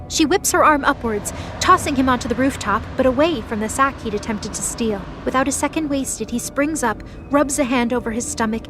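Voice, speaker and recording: A woman reading scripted narration for an audio drama. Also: high voice.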